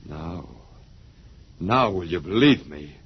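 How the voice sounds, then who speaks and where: An actor playing a weary, wry, stubborn man in 1950s science fiction radio style, halting at times and emphatic at others. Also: low voice, animated. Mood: urgent, emphatic. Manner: halting then emphatic, wryly ironic. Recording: muffled, hissy vintage radio broadcast.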